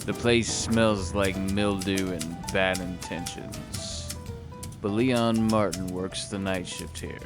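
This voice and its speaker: Man playing hard-boiled private eye, slow delivery, low gravelly voice, deadpan, terse, noir audio drama, music behind. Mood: brooding. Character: hard-boiled world-weary male private detective narrator.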